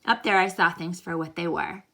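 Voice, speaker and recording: A woman reads a picture book to children with a bright, forceful voice that sits a little low. She is expressive and animated, like a teacher guiding young listeners through a story. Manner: animated, pausing to ask listeners questions. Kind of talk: expressive storybook read-aloud with think-aloud questions.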